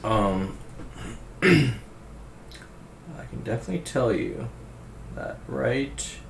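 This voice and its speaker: A young man with a naturally deep, raspy voice, hosting a casual music reaction, laid-back and swearing freely as he praises a singer. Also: expressive, drawn-out words, echoey room, a little hiss or hum. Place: indoor room at a streaming desk.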